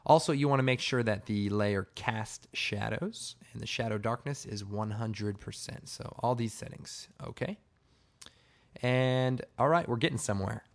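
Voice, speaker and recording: A man with a slightly hoarse, raspy voice giving a casual, informal software tutorial, explaining step by step. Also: medium pitch, moderate pace. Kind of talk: casual screen-recorded tutorial narration.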